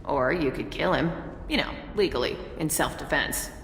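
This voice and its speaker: Shrewd, vindictive game shopkeeper; low, dry delivery in a reverberant space. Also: female voice, normal speed, fairly bright tone. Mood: sly, annoyed. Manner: sly, dry. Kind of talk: video game dialogue. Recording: echoey hall with background noise.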